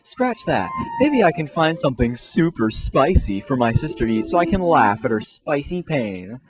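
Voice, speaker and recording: A man's voice: mid-range voice, big pitch swings, normal speed, close to an everyday voice. A man voicing a whiny, haughty, melodramatic female pony in a comic fan-fiction story. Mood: spiteful. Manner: melodramatic, indignant. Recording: music behind the voice.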